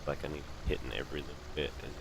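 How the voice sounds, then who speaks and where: A man's voice: very deep voice, flat delivery, very fast. A man in casual, rambling commentary while playing a farming game, talking in a laid-back way with lots of fillers. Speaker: gaming channel host. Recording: clear background noise.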